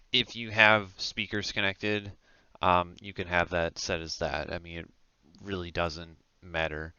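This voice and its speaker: Male tutorial presenter, informal and halting, bright crisp delivery on a close, lo-fi headset. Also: low voice, no room sound. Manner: informal, hesitant step-by-step explaining. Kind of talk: casual software tutorial narration. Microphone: close headset microphone. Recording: dull, lo-fi headset audio.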